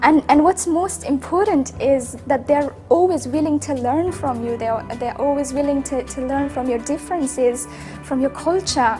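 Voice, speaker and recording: Young Afghan-accented woman, highish voice over music. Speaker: university student telling their own story. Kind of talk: personal testimonial.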